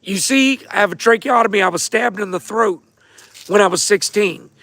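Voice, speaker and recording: A man hosting a casual livestream, answering viewer questions in a hoarse, damaged voice and talking straight to his audience. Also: sits high, moderate pace, a bit bright, no room sound. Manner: laid-back, repeats himself, talks straight to viewers. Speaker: host of a fight show answering viewer questions.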